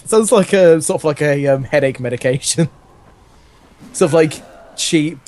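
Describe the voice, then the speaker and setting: A man's voice: fairly high pitch, unhurried, a little mellow. A man reading fan fiction aloud, mixing narration with casual, joking banter. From England.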